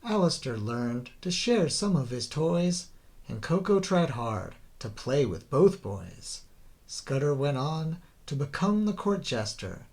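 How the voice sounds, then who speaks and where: A man reads a rhyming children's tale as a storybook narrator. His delivery is theatrical and deliberate, with a slow pace and drawn-out phrase endings. The pitch swings widely as he goes. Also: very animated, darkish tone. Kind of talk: dramatic scripted children's story narration in rhyme.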